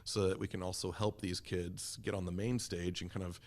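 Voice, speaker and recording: A man's voice: low-pitched, little pitch movement, fairly quick, darkish tone. A man speaking enthusiastically and encouragingly in a casual interview as a community theater representative.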